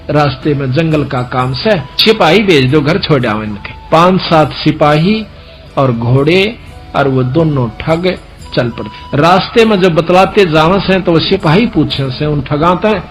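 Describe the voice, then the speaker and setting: A man with a Haryanvi accent preaching through a folk story, telling it colloquially and voicing the dialogue as reported speech. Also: on the high side. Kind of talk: religious discourse told as a folk story.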